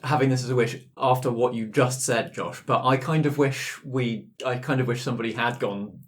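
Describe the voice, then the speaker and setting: A man's voice: medium pitch, steady tone, brisk. A man who plays tabletop games, giving his thoughts informally in a post-game chat.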